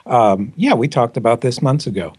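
A middle-aged man guests on a casual podcast, trading profane wisecracks. His voice is somewhat husky and lively in pitch. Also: moderate pace. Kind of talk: casual chat.

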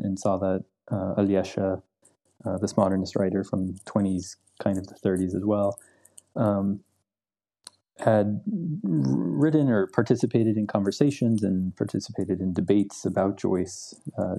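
A man's voice: fairly low pitch, fairly even intonation, normal speed, vocal fry, dark tone. A young man, a literature professor, answering interview questions in a thoughtful, hesitant way with many ums and repeated words. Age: young adult.

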